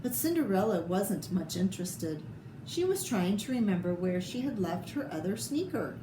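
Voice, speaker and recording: A woman reading a children's picture book aloud with expressive, theatrical delivery and short asides about the pictures. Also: medium pitch, moderate pace. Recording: echoey room with clear background noise.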